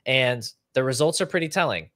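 A man's voice: mid-range voice, animated, fairly quick, a bit bright, no room sound, studio quality. A young man hosting a fantasy football podcast, talking conversationally and explaining figures in an analytical way. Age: young adult. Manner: explanatory, runs through figures and lists. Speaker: podcast host. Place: small room at home, indoors. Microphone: video call setup.

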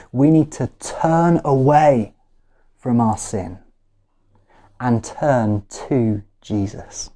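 A man in his thirties preaching a sermon, deliberate and emphatic, repeating key phrases with measured pauses. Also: mid-range voice, mellow. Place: small living room at home, indoors.

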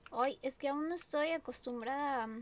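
A woman's voice: high voice, normal speed, slight background noise. A young woman with a Peruvian Spanish accent, chatting casually and thinking aloud while she plays a mobile game. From Peru. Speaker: gamer. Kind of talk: casual gameplay commentary.

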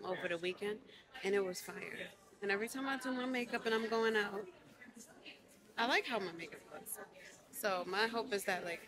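A young woman vlogging casually to a handheld camera, chatty and upbeat with lots of fillers. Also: mid-range voice. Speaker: lifestyle vlogger. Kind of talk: casual vlog chat to camera. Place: beach, boardwalk and store. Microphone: handheld camera at arm's length.

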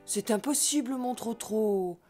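A woman playing a gentle, patient mother donkey in a French children's cartoon, soft and encouraging. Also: on the high side, measured pace, lightly acted. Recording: music behind the voice.

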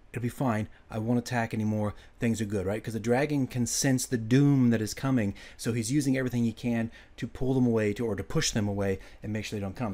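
A man with a medium-pitched voice talks quickly through a screen-share. He sounds informal and enthusiastic, like a game master hurrying to show his prep. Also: a little mellow. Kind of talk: casual screen-share walkthrough. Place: indoors at a computer, voice-over.

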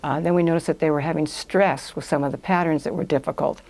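A woman's voice: deep voice, steady tone, fast, gravelly, darkish tone. An older woman giving conversational, explanatory answers as a guest in a studio interview. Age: older, about 60s or 70s. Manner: explanatory, step-by-step. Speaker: guest, language institute owner. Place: small indoor podcast studio.

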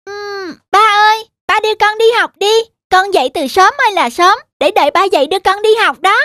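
Eager, very high-pitched little boy. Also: brisk, no echo, clean studio sound. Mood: pleading. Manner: excited, childlike. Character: eager, playful little boy keen on school. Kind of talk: children's cartoon acting.

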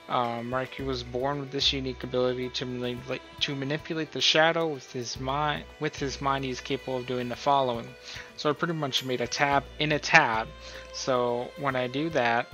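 A man's voice: medium pitch, sharp tone. A man hosting a casual screen-recorded tutorial, explaining things in an informal, rambling way. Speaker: host of a role-play tutorial.

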